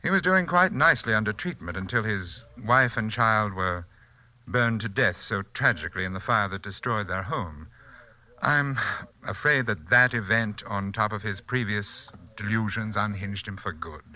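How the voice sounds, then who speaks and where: A man playing a suave, sinister sanitarium doctor in a 1940s radio mystery, polite, composed and quietly menacing. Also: lowish voice, lively intonation, moderate pace. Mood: coolly sinister.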